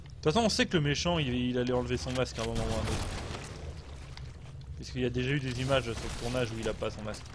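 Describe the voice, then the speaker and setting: A hoarse man streaming a shooter game, grumbling, swearing and chatting with viewers as he plays. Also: mid-range voice, rapid-fire, background noise. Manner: grumbling, swears often, talks to chat. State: hoarse from a cold. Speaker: gaming streamer. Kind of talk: casual live commentary while playing. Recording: game music playing loudly behind. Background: game music and gunfire.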